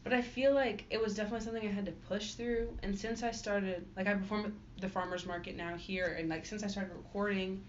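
A woman's voice: medium pitch, steady tone, fairly quick, hardly any pauses. A young woman, a singer-songwriter, chatting casually in an interview and filling her answers with "like". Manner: chatty, many fillers. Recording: dull lo-fi audio with faint noise.

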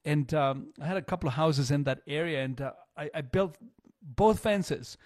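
A man from Chile hosts a radio show in a loose, conversational way. His voice sits a little high, and the sound is close and dry. He peppers his talk with casual filler words as he banters. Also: normal speed, darkish tone, no room sound.

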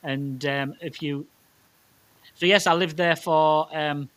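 Man, middle-aged, County Durham accent, slightly high pitch, informal interview answers, hesitant and chatty. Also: fairly even intonation, takes it slowly, fairly bright tone. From South County Durham, northeast England. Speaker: Methodist minister.

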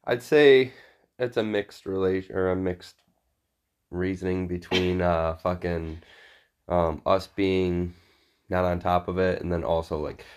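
Deep-voiced, congested man chatting casually. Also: steady tone, a little slow, a little mellow, smooth. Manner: profane, blunt. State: congested with sore throat. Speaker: podcast co-host. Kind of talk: casual unscripted chat.

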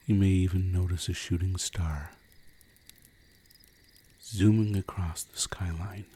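A man narrating a guided sleep meditation in a slow, soothing, hushed manner with long pauses. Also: sits low, steady tone, breathy edge. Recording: campfire and cricket ambience, faint noise. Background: crickets and crackling fire.

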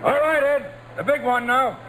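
A man playing a cocky, taunting baseball catcher in old-time radio drama, jeering at his rival, on a noisy old recording. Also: very high-pitched. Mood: mocking. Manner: jeering, drawn-out delivery.

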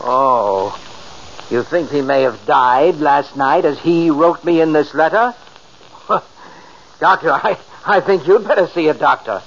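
A man's voice: a little high, animated, takes it slowly, fairly bright tone, exaggerated voice. An actor playing an agitated, skeptical middle-aged father in a 1940s radio drama, incredulous and emphatic, prone to stammer when rattled. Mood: scoffing. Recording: muffled, hissy old radio recording.

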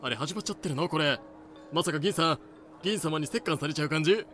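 Flustered, slangy male customer, comic audio drama, played by a man: lightly high voice over prominent music. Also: fast, a bit bright, close to an everyday voice. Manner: slangy junior-style politeness. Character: casual, flustered male slave buyer. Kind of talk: comedic fan audio drama acting. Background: clear background music.

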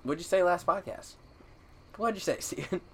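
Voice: medium pitch, expressive, moderate pace, husky. A person in their mid twenties co-hosting a podcast, who teases a lot, laughs often and swears casually. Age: 20s. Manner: teasing, laughs often, profane. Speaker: podcast co-host. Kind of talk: casual chat.

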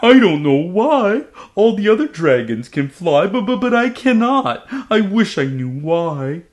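A man voicing a big, gentle male dragon who sniffles and sobs. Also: fairly high pitch, very animated, normal speed, voice pushed up. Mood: sobbing. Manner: sniffling, sobbing, pleading.

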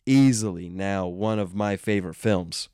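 A man's voice: sits low, moderate pace, warm tone, clean tone, dry recording. A man hosting a casual solo podcast, congested and nasal from illness, rambling and self-aware. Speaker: podcast host.

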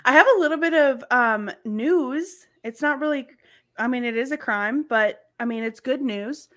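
A woman's voice: sits high, moderate pace, fairly bright tone. A woman from the American South co-hosting a podcast, chatting casually and laughing often as she tells stories. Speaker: podcast co-host. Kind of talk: casual chat.

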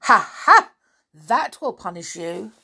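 A woman's voice: medium pitch, sing-song, slow pace, vocal fry, sharp tone, breathy edge, voice pushed up, full character voice. Woman putting on a smug, quacking male storybook creature. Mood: gloating. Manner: taunting, smug. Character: big quacking bird-like male creature, mocking, gloating. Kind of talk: children's storybook character voice.

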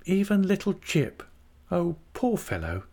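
A man's voice: high voice, very animated, normal speed, a little mellow, voice pushed up, exaggerated voice. A man voicing a frail, childlike young wife in an audiobook, tender, wistful and plaintive. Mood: pitying.

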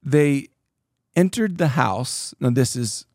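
A man hosting a casual church podcast, talking with animated, preachy emphasis and reading scripture aloud. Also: mid-range voice, takes it slowly, dry recording, studio quality. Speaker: pastor and podcast host.

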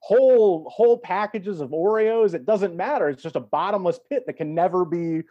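A man in reflective, casual conversation as a guest, feeling his way through thoughts with repeated words. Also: high-pitched. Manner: halting, repeats words while thinking. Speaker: podcast guest. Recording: dull, lo-fi audio.

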